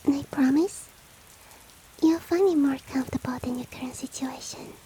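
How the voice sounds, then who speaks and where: A woman voicing a courteous, coaxing monster-girl maid in a soft, breathy, whispery roleplay ASMR style with formal, old-fashioned politeness. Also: high voice, moderate pace, close to an everyday voice, poor audio. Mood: coaxing, pitying. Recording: rain ambience behind the voice. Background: falling rain.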